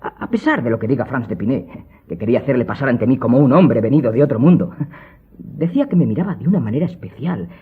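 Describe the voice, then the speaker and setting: A man's voice: mid-range voice, big pitch swings, fast, breathy edge, full character voice. A man playing an earnest young nobleman, a polite and trusting son, in a radio drama. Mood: earnest, defensive. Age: young adult. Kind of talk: Spanish radio drama acting. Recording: muffled phone-like audio with music.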